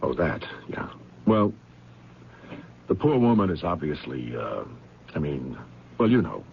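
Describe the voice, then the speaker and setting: A flustered man being questioned in a seventies radio mystery. His low voice is gravelly and jumps about as he hesitates and evades. The recording is an old, dull broadcast. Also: very animated, unhurried, a little hiss or hum, full character voice. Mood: flustered, evasive. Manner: hesitant, stammering, evasive. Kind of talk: 1970s radio drama acting.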